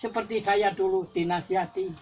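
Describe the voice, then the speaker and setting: A man's voice: sits high, big pitch swings, moderate pace, a little mellow, a little hiss or hum. An older Javanese man, a war veteran, giving an impassioned patriotic speech with repetitive exhortations that rise to emphatic peaks. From Java. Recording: dull lo-fi recording with faint music.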